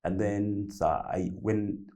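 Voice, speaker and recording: A young man from South Sudan telling his story on a panel, halting and restarting often with many fillers. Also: lowish voice, fairly even intonation, dark tone. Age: young adult. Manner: halting with many repeats and fillers, loose grammar. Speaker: panelist, youth founder. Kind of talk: panel discussion, personal story.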